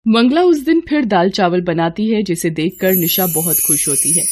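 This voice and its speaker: A woman's voice: on the low side, expressive, normal speed, darkish tone. Woman storyteller over noisy ambience. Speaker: narrator. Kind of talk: scripted Hindi moral-story narration.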